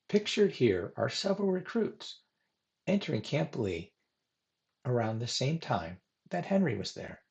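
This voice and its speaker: Man reading history, lively gravelly delivery. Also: mid-range voice, muffled recording. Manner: formal, reading from a script. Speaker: presenter reading a biographical history. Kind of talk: scripted narration of a history presentation.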